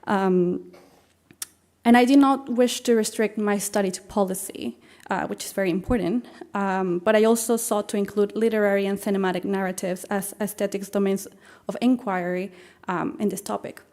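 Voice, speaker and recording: Mexican woman giving a measured speech. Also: mid-range voice, fairly even intonation, a little mellow. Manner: measured, with frequent um and uh fillers. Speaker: scholarship recipient giving a speech. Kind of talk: formal prepared speech.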